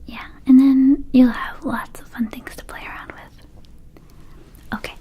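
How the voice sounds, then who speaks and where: Woman as friendly vampire girl minding an herb shop, ASMR roleplay. Hushed, breathy, light high voice, gently coaxing. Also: little pitch movement, a little slow. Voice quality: soft breathy whisper. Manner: soothing, coaxing, hesitant asides. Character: friendly, mischievous young female vampire herb-shop keeper.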